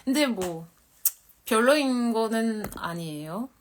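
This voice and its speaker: Woman, 30s, from Korea, quick and candid, self-deprecating live-stream host. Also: on the high side, lively intonation, slight background noise. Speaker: model and livestream host. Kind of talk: casual live-stream chat.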